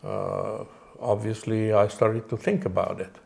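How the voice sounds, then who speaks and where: Gravelly man from Moldova, halting. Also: on the low side, steady tone, darkish tone. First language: Romanian. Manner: halting, many fillers and restarts. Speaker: interview guest. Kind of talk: casual interview answers.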